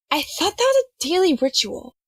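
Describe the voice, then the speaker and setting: A woman's voice: extremely high pitch, big pitch swings, measured pace, dry recording, exaggerated voice. A woman playing a haughty, dry-witted rich young student who scolds sardonically in an audio drama. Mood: exasperated, scolding.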